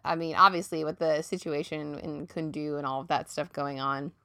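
A woman's voice: low voice, flat delivery, normal speed, sharp tone, clean tone, dry recording, clean studio sound. A woman co-hosting a podcast in casual chat, chatty and laughing often, coming down with a cold. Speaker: podcast co-host.

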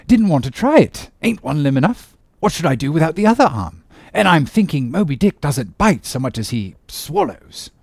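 A man's voice: on the high side, big pitch swings, normal speed, no room sound, studio quality, voice pushed up. A jovial, blustering old sea captain played by a male narrator, with a raised voice that swoops widely. He ribs and exclaims heartily, like a seafarer in an adventure storybook. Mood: rueful, blustery. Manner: exclamatory, ribbing, boisterous. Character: hearty, jovial one-armed English whaling captain, teasing and blustery.

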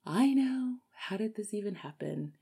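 A woman's voice: normal speed, vocal fry, husky. A woman travel vlogger from Texas, likely in her thirties, answering viewer questions in a casual, chatty way and laughing often. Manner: chatty, laughs often, lively swings in pitch. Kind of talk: casual chat.

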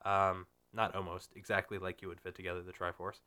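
Bright, crisp man with a stuffy cold. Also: low voice, flat delivery, brisk. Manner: rambling, profane, frequent fillers and restarts. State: has a cold, slightly nasal and sniffly. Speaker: podcast host. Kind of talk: casual gaming podcast chat.

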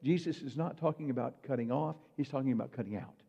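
A man's voice: mid-range voice, quick pace, vocal fry, warm tone, husky. A man preaching a sermon in a conversational way, asking his listeners rhetorical questions. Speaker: pastor. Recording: muffled hall reverb.

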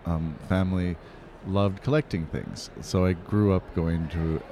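A man from Pennsylvania, a curator, answering interview questions in a measured, earnest way. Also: sits low, fairly even intonation, mellow, slight background noise. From Pennsylvania, USA.

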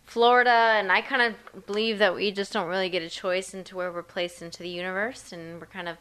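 A woman's voice: mid-range voice, fairly even intonation, brisk, a bit bright, smooth. A woman hosting a casual interview, asking questions in a chatty way with many fillers. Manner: chatty, many likes and kindas. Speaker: interview host.

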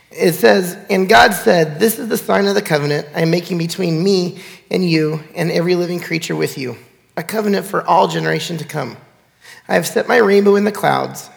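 Male pastor, 40s, high gravelly nasal voice, hoarse, chatty sermon delivery, reverberant sanctuary. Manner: chatty, conversational preaching with fillers. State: hoarse, recovering from illness. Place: church sanctuary. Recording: church hall with long reverb.

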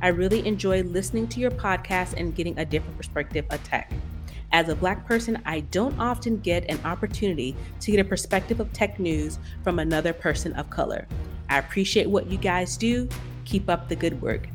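A woman's voice: lowish voice, a little fast, music in the background. A woman co-hosting a podcast in casual conversation, speaking informally and stressing her points by repetition. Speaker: podcast co-host.

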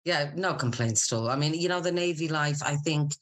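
A woman's voice: low voice, a little fast. A middle-aged woman, chatting candidly and informally as a guest in an interview, telling personal stories. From Scotland. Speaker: podcast guest, military spouse and business owner.

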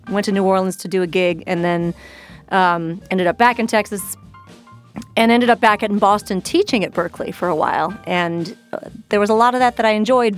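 A woman from the American Midwest, a musician and bar co-owner, answering interview questions in a chatty, storytelling way. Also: mid-range voice. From Midwestern United States.

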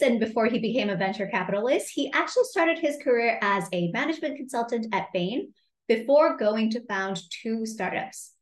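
Woman, podcast host and career coach, upbeat and keen, higher voice in a roomy space. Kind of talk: podcast interview.

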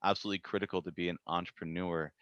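Male interview host, very deep voice, measured delivery, dull dry recording. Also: flat delivery, a bit bright, no echo. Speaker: podcast host. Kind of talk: interview questions and reflection.

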